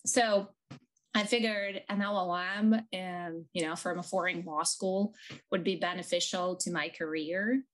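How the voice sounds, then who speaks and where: A woman's voice: lowish voice, fairly even intonation, unhurried, a bit bright. A woman from Ukraine with a Ukrainian accent, a lawyer telling her story in a conversational interview, thoughtful with frequent ums.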